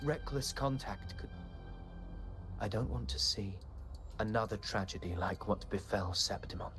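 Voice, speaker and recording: A man's voice: fairly low pitch, a little slow, lightly acted. Guy acting a grave courteous knight type from a video game, very formal, music playing behind. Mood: cautious, formal.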